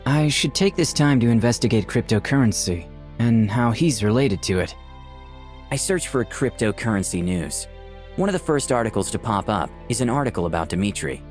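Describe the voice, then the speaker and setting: A man voicing a thoughtful, cautious visual novel protagonist in first-person, introspective narration. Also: on the low side. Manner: reflective, introspective.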